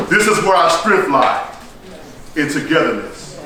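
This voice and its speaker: A man performing a theatrical monologue like a rousing speech, solemn and emphatic, with deliberate dramatic pauses. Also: a little high, sing-song, pushed and bright. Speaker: stage performer delivering a monologue. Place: echoey performance space, recorded from a distance. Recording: roomy echoing hall, distant mic, faint noise.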